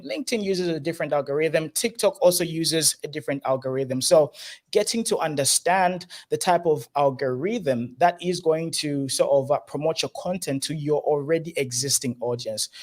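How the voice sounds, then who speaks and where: Young man with a squeaky voice. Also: darkish tone. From Zambia. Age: young adult. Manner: earnest, explanatory, many fillers and restarts. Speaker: guest, entrepreneur. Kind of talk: online interview, advice talk.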